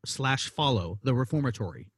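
A man's voice: medium pitch, moderate pace, slightly rough, dry recording, studio quality. A man co-hosting a casual podcast, joking and teasing his co-host, often repeating phrases. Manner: jokey, animated, repeats phrases.

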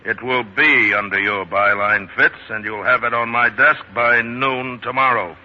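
An actor playing a bossy middle-aged American newspaper publisher turned politician in 1940s radio style. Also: male voice, medium pitch, fairly even intonation, unhurried, natural voice. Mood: irritated, commanding. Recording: muffled 1940s radio recording.

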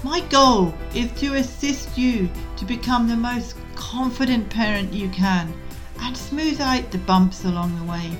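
A woman's voice: mid-range voice, low-quality recording. A woman hosting a parenting podcast, reading a supportive intro and welcoming her guest. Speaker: podcast host. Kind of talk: scripted intro and interview.

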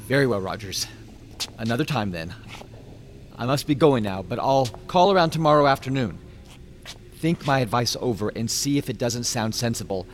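An actor in a radio drama playing a reasonable, stubbornly brave skeptic in a measured, conciliatory manner. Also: male voice, medium pitch, animated, soft background music, lightly acted. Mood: polite, firm.

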